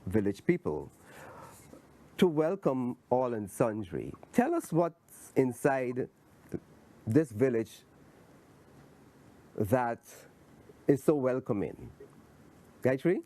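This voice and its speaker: A man hosts an interview in an unhurried, deliberate way, often saying a phrase twice before handing over. His voice is mellow and dark, at an ordinary male pitch, and his pace is very slow. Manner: deliberate, repeats phrases, many affirming murmurs. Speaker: interviewer, host. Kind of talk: interview questions.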